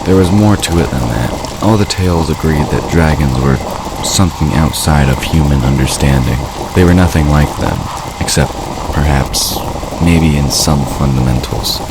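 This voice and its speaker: Casting: man with an exceptionally deep voice as fiction narrator, scripted read, noisy ambient effects underneath. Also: normal speed. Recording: steady noise behind the voice.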